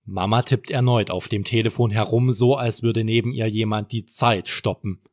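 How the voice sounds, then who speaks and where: A man's voice: on the low side, steady tone, normal speed, a little mellow, no room sound, clean studio sound. A man narrating a scripted bedtime story for children as a storyteller.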